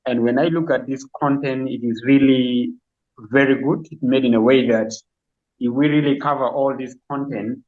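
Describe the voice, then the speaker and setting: A man who heads a nursing department, speaking in a measured, appreciative way on a video call. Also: medium pitch, steady tone. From South Sudan. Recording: tinny phone-like call audio.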